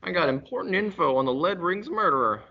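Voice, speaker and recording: A man's voice: lively intonation, quick pace. A man playing a scared, harsh foreign informant who talks in urgent, impatient gangster slang in a radio play crime parody. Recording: dull lo-fi recording with rumbling noise.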